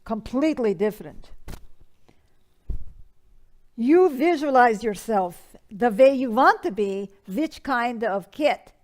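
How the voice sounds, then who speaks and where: A woman's voice: a little high, expressive, slow pace, long pauses, darkish tone. A woman as flamboyant dead madame Madame Mazurka. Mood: theatrical. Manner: theatrical, calls people darling. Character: dramatic dead old madame, flamboyant advice-giver. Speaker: advice show persona.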